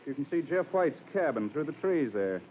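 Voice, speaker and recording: An actor playing an earnest, helpful expedition assistant, explaining things politely and plainly in 1940s radio style. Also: male voice, a little high, sing-song, moderate pace. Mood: earnest, explanatory. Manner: explanatory, polite, matter-of-fact. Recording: muffled old radio, noisy aircraft ambience.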